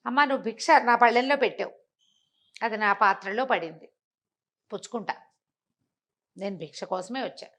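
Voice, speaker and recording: A woman's voice: medium pitch, normal speed, pauses often, fairly bright tone, natural voice. A woman voicing a serene Buddhist monk begging alms in a moral tale, patient and matter-of-fact. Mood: patient, unruffled.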